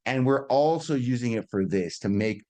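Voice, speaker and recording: A man's voice: mid-range voice, animated, normal speed, mellow. A middle-aged man giving an informal webinar presentation on a video call, explaining in a conversational teaching manner, repeating words and checking in with listeners. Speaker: guest presenter at a business webinar.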